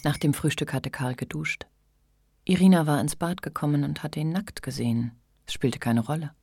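An actress as the calm, understated narrator of a literary radio play. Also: female voice, low voice, moderate pace, a little hiss or hum. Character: calm, observant female narrator of a literary tale.

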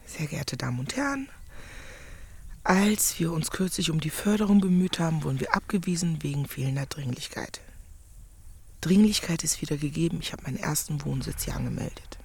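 An actress playing an earnest, outspoken village campaigner in a naturalistic radio play, her voice sometimes breathy and half-whispered. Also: low-pitched, expressive, fairly quick, darkish tone, full character voice. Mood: earnest, formal. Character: outspoken village woman, earnest, indignant campaigner against drought.

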